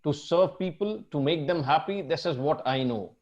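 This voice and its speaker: A man's voice: on the high side, lively intonation, moderate pace, darkish tone, lo-fi sound. An Indian man with an Indian English accent, hosting a casual interview. He is effusive, laughs often and keeps repeating his thanks. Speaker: host. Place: Scotland.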